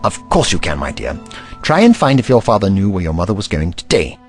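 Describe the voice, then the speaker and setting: An actor playing an eccentric, kindly and curious investigator in an audio drama, pensive and courtly in manner. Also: male voice, sits low, very animated, a little fast, soft background music. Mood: brisk, kindly.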